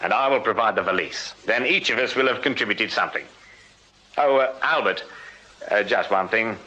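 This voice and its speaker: An actor playing a shrewd Victorian police sergeant in a radio drama, speaking formally and with insistent authority. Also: male voice, fairly low pitch, moderate pace, bright, forceful tone, lo-fi sound. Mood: cool, dry. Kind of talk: full-cast radio drama acting.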